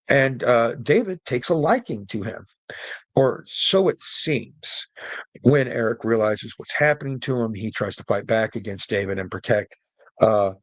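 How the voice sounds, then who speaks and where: A man's voice: mid-range voice, no echo. A middle-aged man hosting a storytelling podcast, explaining things in a casual, opinionated, informal monologue. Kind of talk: casual explanatory monologue about films and folklore.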